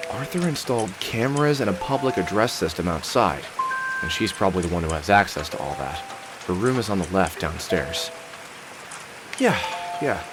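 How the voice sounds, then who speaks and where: An actor playing a wry, world-weary middle-aged man in a video game, muttering sardonically as he thinks aloud. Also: low-pitched. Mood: reasoning, reassuring himself. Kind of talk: scripted game character monologue, thinking aloud. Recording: music under the voice. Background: music.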